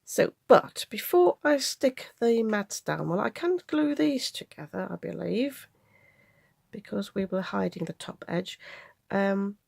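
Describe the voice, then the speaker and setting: Nasal, gravelly-voiced woman with a head cold. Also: mid-range voice, animated, measured pace, darkish tone, dry recording, studio quality. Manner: chatty, practical, explains measurements step by step. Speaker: craft channel host. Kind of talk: card-making tutorial. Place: home craft table.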